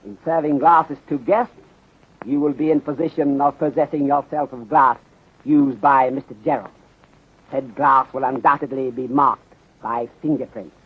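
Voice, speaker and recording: An actor playing a shrewd, courteous Chinese detective inspector in a 1930s radio serial, speaking formally and deliberately in clipped phrases that drop small words. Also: male voice, medium pitch, fairly even intonation, natural voice. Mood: calm, insistent. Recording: muffled, husky-sounding 1930s radio recording.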